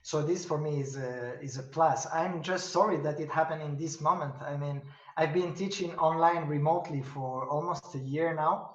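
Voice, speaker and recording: A middle-aged man, a pianist and professor, answering interview questions in English, halting and thoughtful with many fillers and restarts. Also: fairly high pitch, fairly even intonation. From Spain. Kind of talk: video-call interview answers. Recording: roomy, distant, dull video-call audio.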